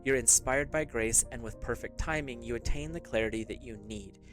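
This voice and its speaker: A man's voice: medium pitch, fairly quick, music in the background. A man giving a casual, rambling tarot reading to his viewers, speaking loosely with fillers and small laughs. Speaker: tarot reader.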